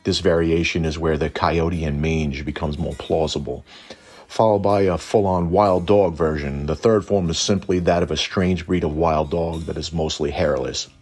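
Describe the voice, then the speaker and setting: A man tells lore in a very low, gravelly voice with a husky grain. His narration is informal and wry, with an irreverent streak. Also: steady tone, normal speed, darkish tone. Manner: irreverent, wry, mildly profane. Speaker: host.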